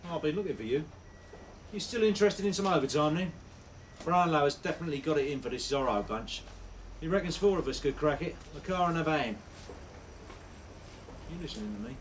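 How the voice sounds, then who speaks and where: A man playing a world-weary, hard-nosed detective inspector, gruff and sardonic, in a TV police drama. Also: fairly high pitch, lively intonation, a little fast, long pauses, close to an everyday voice. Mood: brisk. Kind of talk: naturalistic TV police drama acting. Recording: slightly distant, noisy TV drama sound.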